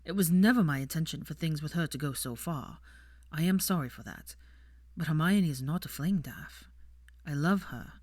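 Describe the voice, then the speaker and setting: Young upper-class man played by a woman narrator, low pitch, restrained, sardonic, matter-of-fact, dry close sound, audiobook character. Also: lively intonation, normal speed, darkish tone, dry recording. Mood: earnest.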